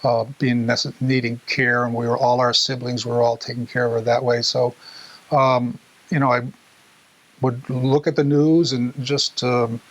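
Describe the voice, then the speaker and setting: A middle-aged man with a mid-range voice that stays fairly flat. He speaks haltingly, feeling for words in a casual personal recollection. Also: medium pitch, poor audio. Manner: halting, hesitant, many fillers. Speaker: interviewee.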